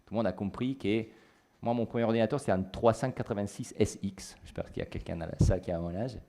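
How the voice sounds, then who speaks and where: A man in his forties speaks French with a clear Italian accent, his voice sitting very low. He talks through his points on a conference panel in a university lecture hall, thoughtful and plain, correcting himself as he goes. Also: fast talker, dark tone.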